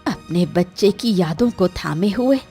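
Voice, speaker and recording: A woman's voice: on the low side, lively intonation, a little slow, mellow, faint music behind. A woman voicing a bitter, grieving old village woman shunned as a witch, in a melodramatic cartoon story with an aggrieved, emphatic delivery. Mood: cold, unforgiving.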